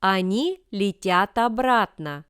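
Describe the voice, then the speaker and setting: A woman reads short example sentences for a language lesson. Her delivery is slow and deliberate, with every word carefully formed. The voice is crisp and smooth, in a dry recording with no room sound. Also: mid-range voice, animated, a bit bright, no echo. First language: Russian. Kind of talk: scripted example sentences read for learners.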